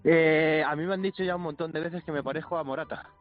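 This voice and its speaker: Man, caller, somewhat high voice, lively storyteller, muffled line. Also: fast. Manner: lively anecdote telling. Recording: muffled phone line with music.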